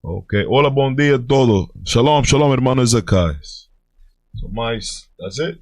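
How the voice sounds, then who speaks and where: A man with a Spanish accent hosts a live stream and works his way through Portuguese in a halting, self-correcting way, slipping Spanish words in as he goes. He speaks slowly in a mid-pitched voice. The sound is dry and close, with no room echo. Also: lively intonation. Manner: fiery, exhorting when denouncing churches. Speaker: religious group leader answering viewers. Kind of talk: live-stream chat greeting viewers.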